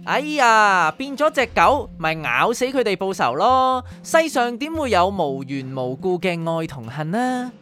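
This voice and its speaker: Actress as wry, philosophizing guide to the dead, Hong Kong Cantonese, mid-pitched with big expressive swings, audio drama. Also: female voice, unhurried, hardly any pauses. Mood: flippant, wry. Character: flippant, philosophizing afterlife doctor guiding souls. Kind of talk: radio drama dialogue. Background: faint music.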